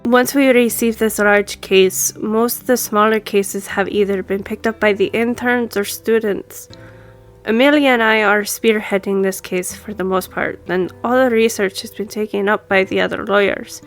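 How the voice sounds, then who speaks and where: A woman's voice: medium pitch, fairly even intonation, normal speed, soft background music, close to an everyday voice. A woman playing a self-assured, friendly law firm boss in an audio roleplay, talking to the listener in a composed, personable way. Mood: friendly, explanatory.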